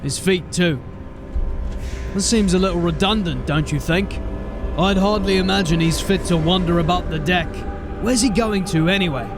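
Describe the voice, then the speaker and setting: Brief: actor as a weary, wry sergeant, high-pitched for a man, faint music under. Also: normal speed, close to an everyday voice. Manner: dry, wry, commanding. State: tired. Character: weary, dry-witted watch sergeant, middle-aged man. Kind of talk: audio drama acting.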